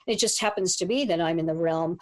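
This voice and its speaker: A woman relationship coach answering interview questions in a measured, hesitant way with many fillers. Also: fairly low pitch, a little mellow.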